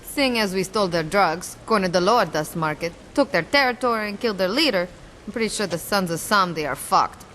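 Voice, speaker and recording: A woman playing a tough, sardonic street gang leader, dry and deadpan, in a video game cutscene. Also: medium pitch, fairly quick, slight background noise, lightly acted, poor audio. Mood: dryly amused. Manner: deadpan, dry, foul-mouthed.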